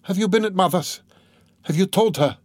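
A man's voice: mid-range voice, normal speed, a little mellow, no echo, studio quality, voice pushed up, natural voice. A man voicing an anxious, devoted young woman, an earnest and distressed sister, in a pleading, emotional way for an audiobook. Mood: horrified.

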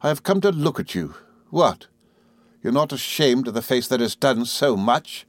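A man reading a classic novel, voicing a hateful, imperious gentlewoman with a cold, stern, sharp tone and contemptuous manner. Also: medium pitch, animated, unhurried, no echo, voice pushed up, natural voice. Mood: haughty, cutting. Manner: contemptuous, resolute, theatrically fierce. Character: hateful, imperious, scornful young gentlewoman villain.

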